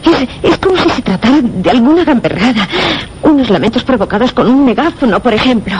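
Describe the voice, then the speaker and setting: An actor with a Castilian accent playing a frightened, near-hysterical observatory porter in a suspense radio play, agitated and fretful. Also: male voice, very high-pitched, expressive, fairly quick, a little hiss or hum, poor audio. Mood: nervous. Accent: Castilian Spanish. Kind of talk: Spanish suspense radio drama dialogue.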